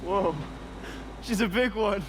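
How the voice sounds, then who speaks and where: A man playing an eager, confident young seafaring son in a video game, calling out excitedly. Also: high-pitched, slow pace. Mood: excited, curious. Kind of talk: video game cutscene acting. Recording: very loud boat and water noise.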